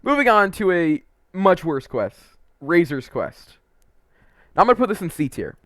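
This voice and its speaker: A man's voice: high-pitched, sing-song, moderate pace, fairly bright tone, no echo, clean studio sound. A man giving casual, opinionated game review commentary, informal and emphatic, stretching words for emphasis.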